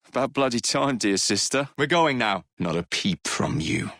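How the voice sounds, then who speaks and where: An actor voicing a brash, playful young Victorian London gang leader in a video game, with an English accent and a teasing, roguish manner that turns to clipped commands. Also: male voice, medium pitch, animated, moderate pace, a bit bright, no room sound, studio quality. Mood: brisk, commanding, then menacing.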